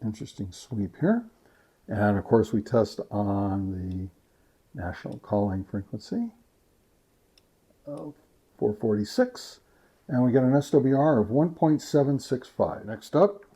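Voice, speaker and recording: A man hosting a casual gear test review, talking to viewers in a halting, methodical way. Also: lowish voice, lively intonation, mellow, husky. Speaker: host of a radio gear review channel.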